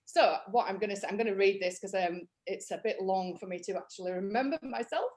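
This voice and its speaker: A woman's voice: medium pitch, a little fast, darkish tone. Yorkshire woman from Leeds, marketing trainer, casual webinar delivery, mid-range voice, lots of fillers. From Leeds, England. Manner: chatty, practical, frequent ums and you-knows. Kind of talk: webinar workshop talk.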